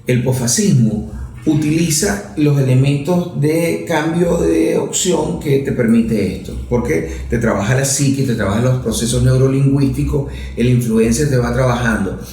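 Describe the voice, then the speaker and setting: A man's voice: medium pitch, moderate pace, gravelly, warm tone. Professorial Venezuelan man in an echoey room. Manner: professorial, analytical, explains with examples. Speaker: lawmaker guest. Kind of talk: interview conversation.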